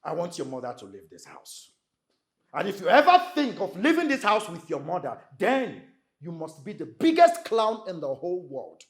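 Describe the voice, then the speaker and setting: A man's voice: high-pitched, wildly swooping pitch, moderate pace, full character voice. A Nigerian man playing an angry, domineering husband in a film drama, with a commanding, emphatic delivery. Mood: stern, contemptuous. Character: domineering, angry husband ordering his mother-in-law out.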